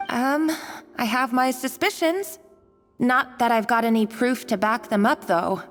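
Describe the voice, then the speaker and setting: A woman's voice: a little high, unhurried, fairly bright tone. A woman playing a timid, apologetic young kitchen worker in a game, hesitant and prone to stammering. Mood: hesitant, thoughtful. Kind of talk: English game voice acting. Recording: long reverb over background music.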